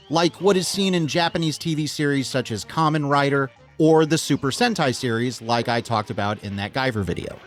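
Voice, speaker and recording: A man with a North American accent hosting a scripted video essay, enthusiastic and wry, with self-deprecating joking asides. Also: fairly high pitch. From United States. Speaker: host of a film video essay channel.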